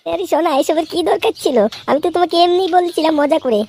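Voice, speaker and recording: A woman voicing a sly, sharp-tongued cartoon woman, coaxing and scolding in a comedy dub. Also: extremely high pitch, fast, slight background noise. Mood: coy, coaxing.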